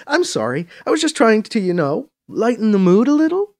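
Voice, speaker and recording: A man's voice: sits high, big pitch swings, a little fast, no room sound, studio quality, voice pushed up. A man voicing a wry, teasing woman who is guarded underneath, in an audiobook. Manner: teasing, playful.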